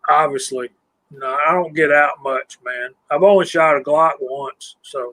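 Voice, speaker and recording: A man in his fifties co-hosting a casual live stream chat, relaxed and self-deprecating as he asks guests questions. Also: on the high side, measured pace. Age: 50s.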